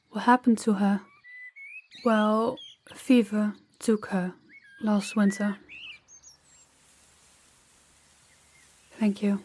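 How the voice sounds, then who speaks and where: A woman playing a gentle, candid young princess in an intimate audio roleplay, soft-spoken and confiding with hesitant pauses. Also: medium pitch, a little hiss or hum, natural voice. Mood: wistful, grieving.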